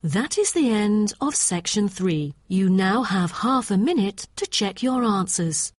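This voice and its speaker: A woman's voice: medium pitch, animated, measured pace, dry recording, clean studio sound. A woman serves as announcer for a listening exam. She reads scripted instructions in a recording with very little room sound.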